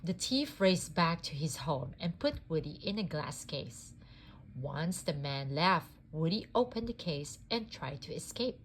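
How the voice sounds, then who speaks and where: A woman reads a children's storybook aloud. Her voice sits fairly low and moves slowly, with very lively, expressive rises and falls. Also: slight background noise. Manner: deliberate, expressive. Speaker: storybook reader. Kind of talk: children's read-aloud narration.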